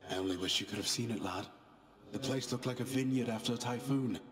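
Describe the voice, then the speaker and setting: An actor playing a gentle, noble warrior mentor in a video game, formal, fond and wry. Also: male voice, mid-range voice, fast talker, close to an everyday voice. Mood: amused. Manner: formal, kindly, storytelling. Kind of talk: video game character acting.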